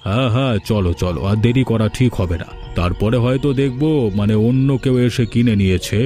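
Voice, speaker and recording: A man's voice: mid-range voice, brisk, dark tone, lightly acted. Cartoon villager role, male actor: greedy rich schemer, insistent and blustering, slightly muted delivery over faint music. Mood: demanding. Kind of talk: Bengali cartoon story voice acting.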